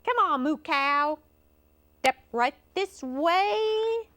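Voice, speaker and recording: Woman acting a boastful space cowboy, high-pitched, swooping delivery, commanding, theatrical rodeo announcer, storybook character. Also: animated, drawn-out words, a bit bright, full character voice. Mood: showy, boastful.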